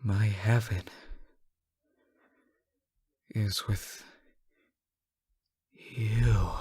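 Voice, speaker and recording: A man acts out a yandere fallen angel, utterly devoted and dangerously obsessed. His deep-set voice moves very slowly through an intimate roleplay monologue, full of theatrical pauses. It drops to a murmur, then surges with fervor. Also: breathy edge, exaggerated voice. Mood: adoring. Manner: theatrical, halting, swinging between hushed murmurs and impassioned outbursts.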